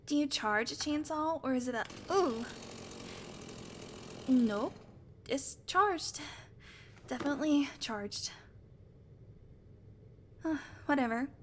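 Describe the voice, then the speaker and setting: A woman's voice: high-pitched, lively intonation, slow, pauses often, a little hiss or hum. A woman playing a sarcastic, teasing vampire who swears casually, in a conversational audio roleplay. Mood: teasing, bemused. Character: sardonic female vampire, unrepentant predator, teasing. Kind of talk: audio roleplay acting, conversational monologue to listener.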